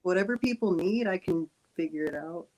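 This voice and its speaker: A woman, an artist guest, answering questions slowly and thoughtfully. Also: on the low side, darkish tone, low-quality recording. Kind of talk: casual interview.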